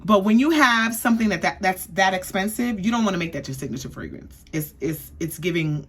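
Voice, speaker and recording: A woman's voice: mid-range voice, lively intonation, brisk, fairly bright tone, slightly rough. A young woman from the East Coast giving a chatty, sassy perfume review, often checking in with "okay?". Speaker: beauty vlogger.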